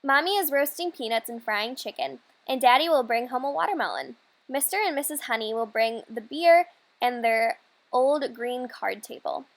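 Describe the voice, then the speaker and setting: A woman reading aloud a children's storybook as a hopeful, dreamy young girl, earnest and measured. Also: high-pitched, lively intonation.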